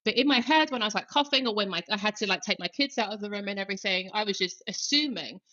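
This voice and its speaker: A woman's voice: mid-range voice, animated, quick pace, bright, forceful tone, lo-fi sound. A woman guest on a podcast, talking casually with lots of fillers and restarts. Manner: chatty, many fillers and self-corrections.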